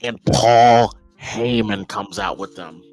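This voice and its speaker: A man's voice: mid-range voice, fairly even intonation, takes it slowly, a little mellow, faint music behind. An American man giving casual, emphatic opinion commentary as a podcast host, informal and often repeating phrases as he thinks aloud.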